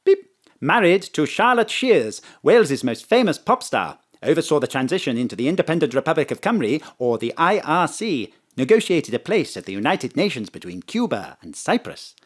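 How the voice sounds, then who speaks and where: Robot car investigator, polite, literal-minded, formal, clipped phrases, animated pitch, audio drama. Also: moderate pace. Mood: obliging.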